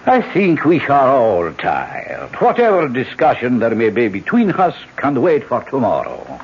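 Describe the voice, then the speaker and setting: An actor playing a suave, scheming older generalissimo with an urbane, oratorical manner in a radio drama. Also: male voice, mid-range voice, wildly swooping pitch, a little slow, breathy edge, full character voice. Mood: feigned concern. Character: suave, scheming elder generalissimo, falsely courteous. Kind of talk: old-time radio drama acting. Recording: dull old radio broadcast.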